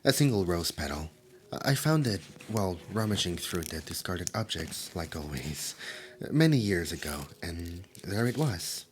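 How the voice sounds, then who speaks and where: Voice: deep voice, sing-song, moderate pace, a little hiss or hum. A performer voicing a nerdy, easily flustered immortal demon in an ASMR roleplay, with a stammering, theatrical delivery. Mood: wistful, soft. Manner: stammering, theatrical, swinging from soft musing to panicked outbursts. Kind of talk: roleplay ASMR character acting.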